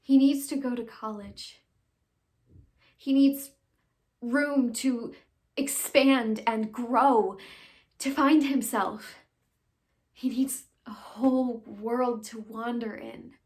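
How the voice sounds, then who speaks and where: A woman acting an earnest, conflicted young woman in a halting, pleading stage monologue of confession and farewell. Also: on the high side, fairly even intonation. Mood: pleading, emphatic. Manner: halting, pleading, with long pauses and hushed phrases.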